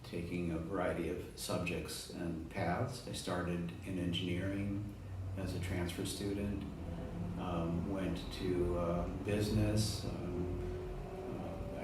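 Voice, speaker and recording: Deep-voiced man in his sixties, echoey. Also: steady tone, moderate pace, recorded from a distance, background noise. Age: 60s. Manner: hesitant, searching for words with many fillers. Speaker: interviewee, retired engineer. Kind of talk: casual recollection.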